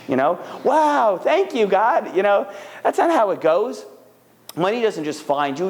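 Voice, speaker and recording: A man's voice: fairly high pitch, in a large hall. A man talking casually and animatedly to a live audience, answering questions. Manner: chatty, animated, full of fillers.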